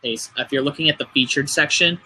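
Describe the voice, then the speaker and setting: A man on a group call, explaining social media tips in a relaxed way with fillers. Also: fairly high pitch, fairly quick, a little hiss or hum. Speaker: guest growth hacker. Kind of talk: casual group video call.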